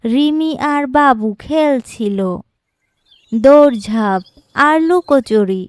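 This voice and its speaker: A woman narrating a scripted children's moral story in a measured, instructive way. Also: high voice, lively intonation, dry recording, clean studio sound. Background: background music.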